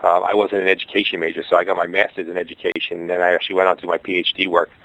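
A man's voice: low-pitched, little pitch movement, racing, a bit bright, lo-fi sound. A middle-aged man with a Brooklyn accent, a school founder answering interview questions in a rambling way, with many fillers and restarts. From Brooklyn, New York. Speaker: guest, charter school founder.

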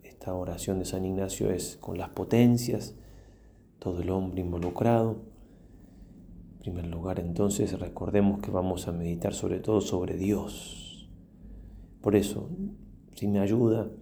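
A man, a priest leading a guided meditation and prayer in a slow, reverent manner with long pauses. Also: sits low, mellow, a little hiss or hum.

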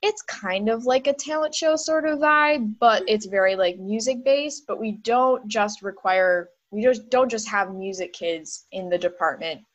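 A woman's voice: medium pitch, measured pace, hardly any pauses, dull audio. A woman music teacher interviewing a colleague, talking in long, encouraging, run-on explanations. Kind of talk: casual conversational interview.

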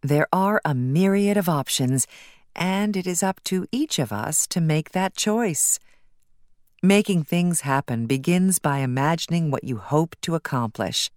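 A woman with a low-pitched voice narrates a nonfiction audiobook. Her delivery is measured and articulate, with an instructive tone. The sound is close and dry, with almost no room echo. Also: lively intonation.

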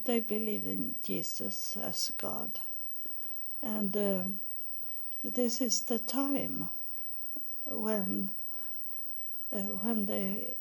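An older woman whose first language is Swedish talks very slowly in English, pausing often as she hunts for words. Her voice is dark and mellow with a gravelly edge, and it stays at a middle pitch. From Sweden. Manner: halting, many pauses and uh fillers, searching for English words. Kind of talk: casual spiritual monologue.

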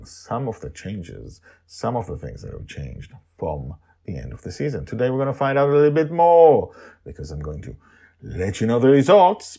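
A man's voice: on the low side, gravelly, warm tone, husky. A man hosting and narrating an audio story podcast in a theatrical, emphatic, dramatic style.

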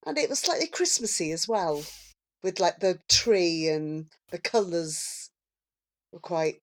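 A woman's voice: fairly low pitch, animated, a little slow. A woman chatting casually as a podcast panellist. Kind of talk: casual chat.

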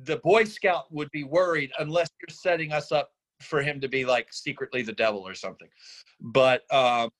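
A man giving deliberate, analytical feedback on a script in a group discussion. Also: medium pitch, a bit bright, low-quality recording. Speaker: readers theatre workshop participant.

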